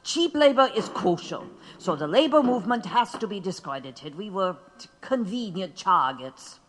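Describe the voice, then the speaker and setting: An actor playing a wry, fatalistic anarchist prisoner who lectures sardonically in an audio drama. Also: male voice, high-pitched, big pitch swings, moderate pace, fairly bright tone, heavily acted. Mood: lecturing. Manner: pontificating, sardonic. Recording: long hall reverb.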